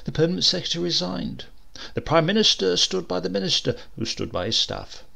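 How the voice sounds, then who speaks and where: A man's voice: sits high, brisk, creaky. A man narrating a comic short story in a dry, wry storytelling manner. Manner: dry, wry storytelling with lively voiced dialogue.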